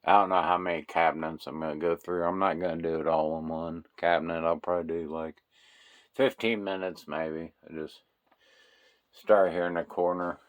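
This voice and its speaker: A man giving a casual, rambling tour of his toy collection room, filling pauses with lots of uh and um while filming on a handheld phone. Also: low voice, level tone, dull audio. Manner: unhurried, rambling, many fillers. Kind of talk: casual walkthrough narration.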